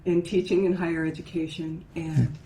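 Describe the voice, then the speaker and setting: A woman's voice: fairly low pitch, little pitch movement, moderate pace, mellow, a little hiss or hum. A woman guest, a voice and dialect coach, explaining her ideas in a thoughtful, casual way and often checking in with "right?". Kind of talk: casual conversational interview. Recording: roomy echo with faint ambience.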